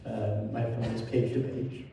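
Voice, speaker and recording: A man's voice: lowish voice, level tone, normal speed, vocal fry, dark tone. A man who grew up in Turkey, a filmmaker, introducing himself plainly. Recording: echoey hall, distant mic, faint hum.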